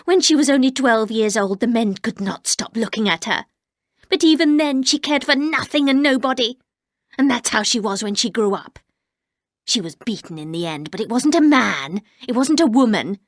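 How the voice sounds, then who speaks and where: A woman puts on a bitter, menacing housekeeper who drags her words out slowly and sinks into a hard whisper. The voice sits a touch lower than the reader's own. It is recorded close and dry. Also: expressive, dry recording. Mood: cold menace. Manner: slow, low, cold, often whispered.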